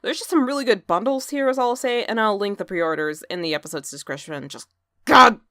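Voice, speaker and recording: A woman hosting a scripted metal news podcast, excitable and jokey, cursing now and then and breaking into loud bursts of enthusiasm. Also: mid-range voice, fairly quick, no room sound, studio quality. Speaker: podcast host.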